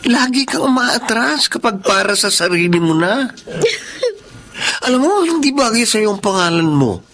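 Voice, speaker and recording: An actress playing a concerned, exasperated close friend in a radio drama, scolding yet caring and emphatic. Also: female voice, fairly high pitch, big pitch swings, moderate pace, fairly bright tone. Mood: worried scolding. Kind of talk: Tagalog radio drama acting.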